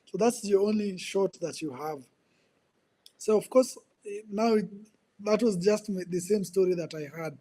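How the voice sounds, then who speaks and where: A man in his twenties with a Kenyan accent, telling his life story as a podcast guest in an earnest, halting way, often repeating words. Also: high voice, warm tone. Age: 20s. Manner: earnest, repeats words while searching for them. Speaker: guest, ministry coach. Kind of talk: personal testimony in conversation.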